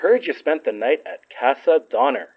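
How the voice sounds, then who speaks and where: A man's voice: on the high side, big pitch swings, moderate pace, gravelly, breathy edge, narrow, tinny audio, full character voice. An actor playing an affectionate, teasing young man in an audio drama, playful and reassuring. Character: Murphy, teasing, affectionate, open-hearted young friend and ex. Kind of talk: naturalistic audio drama acting.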